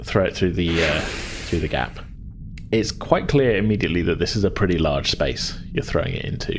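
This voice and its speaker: Casting: male game master, deep pitch, informal and hesitant improvised scene-setting, faint background noise. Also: lively intonation, moderate pace. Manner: hesitant, loose, informal phrasing. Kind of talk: improvised tabletop game narration.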